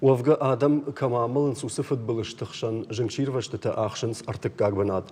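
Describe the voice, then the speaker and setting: A male co-host with a soft, dark timbre and a husky edge presents news conversationally. His voice carries a long reverb, as in a hall. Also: medium pitch, fairly even intonation, normal speed. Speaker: TV talk show co-host.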